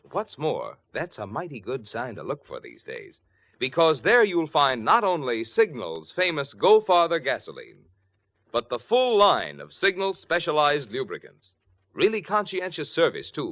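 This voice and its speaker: A man's voice: medium pitch, a bit bright. A man announcing a sponsor message in polished, persuasive 1940s radio style, speaking directly to listeners.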